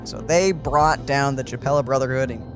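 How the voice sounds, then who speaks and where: A man's voice: mid-range voice, moderate pace, over music. A man co-hosting a let's play with informal, reactive, casual gaming commentary.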